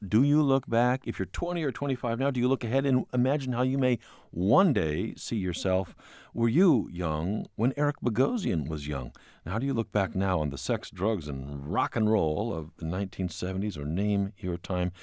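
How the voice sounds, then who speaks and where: A man hosting a public radio call-in show from the studio, measured when scripted and stumbling on questions. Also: on the low side, darkish tone, no room sound. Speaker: radio talk show host. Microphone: studio microphone.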